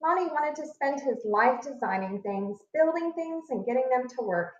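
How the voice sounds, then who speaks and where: Teacher lady reading a kids book out loud, highish voice, expressive, echoey room. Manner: expressive, deliberate storytelling with emphasis. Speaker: read-aloud narrator, teacher. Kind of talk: reading a children's picture book aloud. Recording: echoey room, slightly muffled sound.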